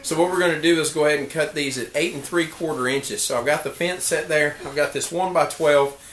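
A man in his thirties casually narrating a woodworking how-to in his workshop, relaxed and explanatory, with frequent fillers and restarts. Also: fairly high pitch, fairly quick, a little hiss or hum. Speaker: woodworking vlogger. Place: workshop shed.

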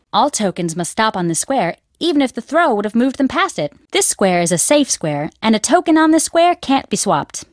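A woman's voice: a little high, no echo, studio quality. A woman voicing a bossy, competitive character at a dig site who explains a board game. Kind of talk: video game voice lines.

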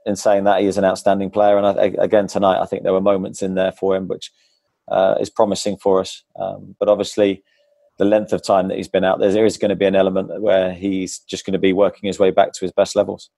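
A low, gravelly man's voice with a flat, even delivery and a mellow, dark tone. He answers press questions in a measured, hesitant way, full of ums and restarts. He speaks through an earphone mic on a video call, and the sound is dry and close. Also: dry recording. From England. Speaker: football manager. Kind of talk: post-match press conference answers. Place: club press room before a sponsor board. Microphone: wired earphone headset on a video call.

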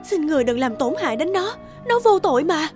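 A gentle, devoted cartoon witch mother, pleads with emotion. Her voice is very high, with music clearly behind it. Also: expressive, fast talker. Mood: pleading. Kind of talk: cartoon dubbing.

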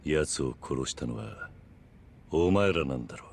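An actor playing a stern, tough former yakuza boss who talks gruff and blunt. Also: male voice, very deep voice, lively intonation, measured pace, slight background noise, heavily acted. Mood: blunt, demanding. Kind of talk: video game cutscene acting.

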